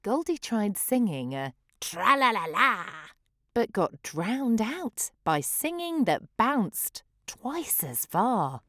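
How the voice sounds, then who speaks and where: A woman reading a rhyming picture book aloud with expressive, theatrical rhythm. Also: a little high, slow pace, no room sound, studio quality. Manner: theatrical, rhythmic delivery of rhyme. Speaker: children's story reader.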